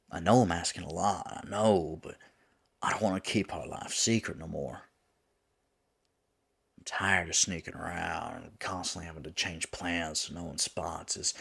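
Man voicing a deep, gravelly lovesick demon. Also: bright, forceful tone, heavily acted. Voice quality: gravelly, husky. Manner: tender, pleading, folksy turns of phrase. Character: lovesick male demon pleading with his angel lover. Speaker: voice actor in an audio roleplay. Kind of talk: intimate ASMR roleplay acting.

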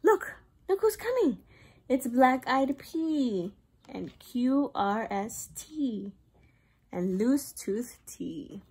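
A woman's voice: high voice, very animated, drawn-out words, darkish tone, breathy edge. A young woman, a preschool teacher, reads a picture book aloud in a sing-song way for young children. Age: young adult.

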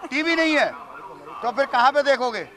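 Male street host, middle-aged, high voice, playful banter, outdoor crowd behind. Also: moderate pace. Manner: bantering, repeats people's answers back. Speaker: show host interviewing fairgoers. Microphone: handheld interview microphone.

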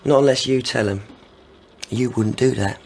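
A man acting a possessive young army deserter in a radio drama, over clear background noise. His voice is creaky and wheedling, with menace in it. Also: medium pitch, normal speed, darkish tone, close to an everyday voice. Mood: possessive, menacing. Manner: needling, wheedling.

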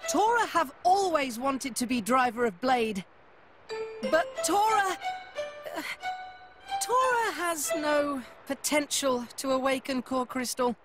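A woman's voice: sits high, sing-song, takes it slowly, full character voice. A woman voicing a small, round, furry male creature inventor in a game, eager and cheeky, with childlike broken speech. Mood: sheepish. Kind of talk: video game cutscene acting. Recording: loud music behind the voice.

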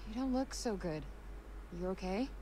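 A woman's voice: medium pitch, animated, moderate pace, background noise, natural voice. An actress playing a brash young woman hero who talks casually and urgently, with game music behind her. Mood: worried.

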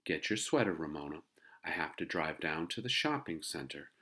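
A man's voice: rumbling low, lively intonation, moderate pace, sharp tone, breathy edge, heavily acted. A man voicing a strict, exasperated mother of a young girl in a children's storybook, firm and scolding. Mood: matter-of-fact.

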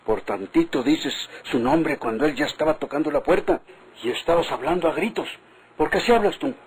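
An actor playing an anxious, deferential Mexican village husband in a vintage radio drama, cautious and meek, on a noisy old recording. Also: male voice, on the high side, lively intonation, brisk, breathy edge. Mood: nervous, scolding.

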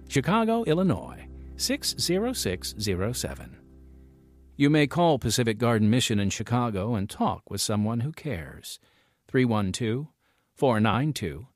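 A male radio host delivers a scripted evangelistic announcement with very varied intonation. He is earnest and deliberate, enunciating clearly, with music clearly playing behind him. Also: medium pitch, no echo.

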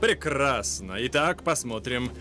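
A man voicing a composed, courteous gentleman lion leading a cartoon expedition, speaking in a formal, commanding way. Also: mid-range voice, lively intonation, unhurried, soft background music. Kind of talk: dubbed cartoon acting.

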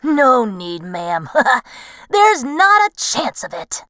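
A woman voicing a brash, eager male fox in a storybook tale, with a cocky, excitable manner. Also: sits high, extremely slow, no echo, clean studio sound, exaggerated voice.

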